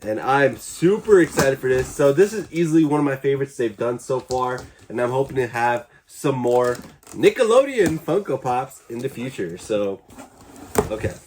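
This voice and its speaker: A man's voice: medium pitch, lively intonation, normal speed, noisy background. A young man with a stuffy, congested voice, chatting enthusiastically as he hosts a casual unboxing vlog. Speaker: YouTube collector channel host.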